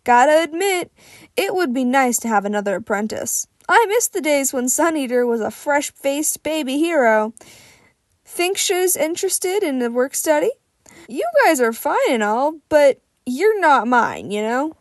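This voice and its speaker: Storybook hero mentor, big jolly man who loves food acted by a woman, high hearty voice, dry sound. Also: expressive, a little slow, no room sound. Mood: jovial, wistful. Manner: hearty, jovial.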